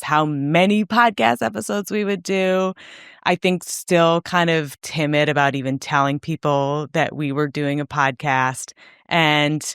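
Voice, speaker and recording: A woman's voice: low voice, a bit bright, clear, resonant tone, no echo, clean studio sound. A woman co-hosting a podcast, talking in a loose, chatty way full of casual fillers. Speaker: podcast co-host. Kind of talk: casual chat.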